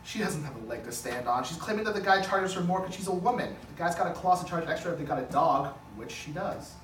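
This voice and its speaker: A man's voice: fairly high pitch, expressive, fast talker, a bit bright. A man playing a defensive, spiteful lawyer with a sarcastic, profane manner in a comedic script read. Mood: exasperated. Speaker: actor at a script table read. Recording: roomy, distant mic, faint noise.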